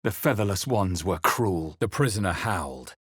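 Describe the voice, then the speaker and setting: Brooding male adventurer for a fantasy RPG: husky, expressive, grave and deliberate, recorded close and dry. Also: deep voice, no room sound, full character voice. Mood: ominous narration. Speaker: player character in a fantasy video game. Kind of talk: dramatic game voice lines and first-person narration.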